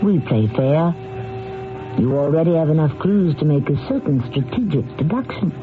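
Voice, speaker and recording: A man's voice: a little high, expressive, moderate pace. A man hosting and narrating a mystery drama in a theatrical, questioning manner. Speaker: host and narrator. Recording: tinny old radio, music behind.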